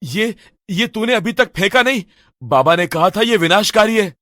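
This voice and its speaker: A man's voice: high-pitched, expressive, moderate pace, pushed and bright, dry recording, clean studio sound. A man playing a frightened, loyal young friend, nervous and pleading, in a horror story. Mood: worried. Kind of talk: Hindi animated horror story acting.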